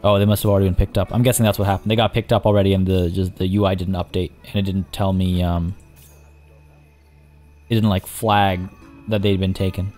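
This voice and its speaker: A hoarse young man casually commenting as he plays a zoo-building game, chatty and rambling. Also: sits low, little pitch movement, fairly quick, a little mellow, soft background music. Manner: rambling, chatty, many restarts and fillers. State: hoarse, losing his voice. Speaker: let's play host.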